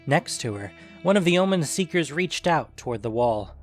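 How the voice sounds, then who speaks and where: Man narrating dark fantasy over music. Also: fairly high pitch, big pitch swings, moderate pace. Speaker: story narrator. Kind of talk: scripted fantasy story narration, dramatic audiobook delivery.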